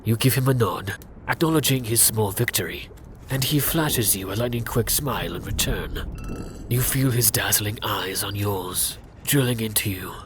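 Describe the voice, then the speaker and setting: A man narrating a scripted second-person fantasy story for ASMR in a hushed, intimate, dramatic way. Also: fairly low pitch, moderate pace, slight background noise.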